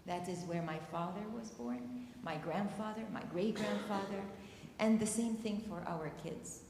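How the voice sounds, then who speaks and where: A woman's voice: mid-range voice, a little slow. A woman from Palestine telling a personal story at a community discussion, emotional and close to tears. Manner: emotional, near tears, earnest. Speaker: panel speaker. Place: church hall. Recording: echoey hall, faint noise.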